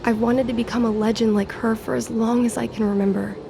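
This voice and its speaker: A woman's voice: a little high, fairly quick, vocal fry, background noise. A woman playing a spirited, earnest young fox-woman who dreams of piloting, in a video game. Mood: proud, wistful. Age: young adult. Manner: perky, expressive, earnest. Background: music.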